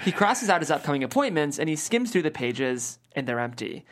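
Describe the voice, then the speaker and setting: A woman with a low voice reads stage directions from a script. Her narration is highly animated, moving freely up and down as at a comedy table read. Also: a little fast, slight background noise.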